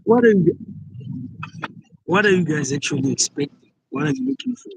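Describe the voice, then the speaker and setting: Young man from south africa, fairly high voice, argumentative, calling in from a taxi. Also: sing-song, normal speed, gravelly, a little hiss or hum, low-quality recording. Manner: argumentative, repeats himself, frequent "like". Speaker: call-in guest. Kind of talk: casual debate on a live call.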